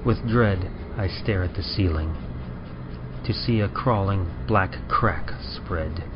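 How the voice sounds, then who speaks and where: A man reading dramatic horror narration in an old-time radio style, grave and deliberate, in short measured phrases. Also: low voice. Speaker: story and poem narrator. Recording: dull, band-limited sound with music behind.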